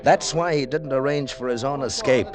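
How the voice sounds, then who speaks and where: A man's voice: medium pitch, moderate pace, crowd noise, lightly acted. An actor playing a worldly, unsentimental male adventurer in a 1940s radio drama. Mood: rueful, reasoning. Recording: noisy old 1940s radio transcription.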